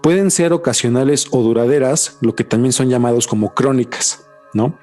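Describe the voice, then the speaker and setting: A man's voice: mid-range voice, normal speed, darkish tone, faint music behind. A man with a Mexican Spanish accent hosting a podcast, talking casually with a lot of slang and swearing. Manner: slangy, swears a lot, says "güey" constantly. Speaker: podcast host. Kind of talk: casual chat.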